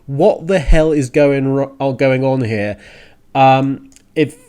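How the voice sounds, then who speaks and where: Exasperated male radio host, halting delivery. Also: medium pitch, dark tone, clean tone. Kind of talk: casual opinionated podcast rant on games and film news.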